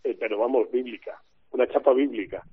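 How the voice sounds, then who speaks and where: A man's voice: mid-range voice, brisk, crowd noise. A man discussing basketball casually as an analyst, heard over a telephone. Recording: dry, tinny telephone line.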